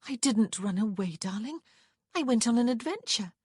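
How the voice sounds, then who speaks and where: A woman's voice: a little high, expressive, moderate pace, a little mellow, husky, dry recording, clean studio sound, voice pushed up. A woman voicing a spirited, defiant upper-class young woman in an audiobook, with a pointed, dramatic manner. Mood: tender.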